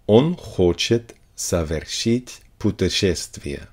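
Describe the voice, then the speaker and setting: Slow, deep-voiced man reading phrases. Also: animated. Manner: reads each phrase slowly and repeats it. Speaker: language teacher.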